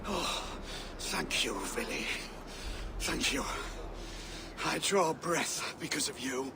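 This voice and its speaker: Elderly Viking counselor, gravelly, deferential, weary, game cutscene, noisy background. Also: male voice, fairly high pitch, sing-song, takes it slowly, heavily acted. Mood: grateful relief. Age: older. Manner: grave, deferential, gently scolding. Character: weary, pious old Viking counselor and healer. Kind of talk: video game cutscene acting. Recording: noisy game ambience behind dialogue.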